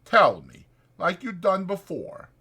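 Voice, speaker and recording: A man's voice: high voice, huge pitch swings, slow pace, bright, forceful tone, husky, pitched above the performer's own voice, full character voice. A man voicing a timid, childlike, simple-minded man who pleads naively in simple rural American speech. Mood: coaxing.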